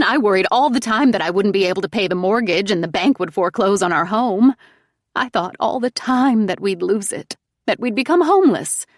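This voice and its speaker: A woman voicing a manipulative, self-pitying middle-aged mother who speaks in a crooning, wheedling way, raised in pitch and volume above her narration. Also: no room sound, clean studio sound.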